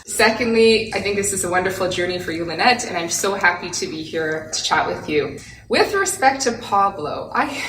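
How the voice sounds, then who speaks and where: A woman artist being interviewed, answering thoughtfully and gently, with small hesitations. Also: mid-range voice, slight background noise. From Canada. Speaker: guest artist. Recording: roomy, distant from the mic.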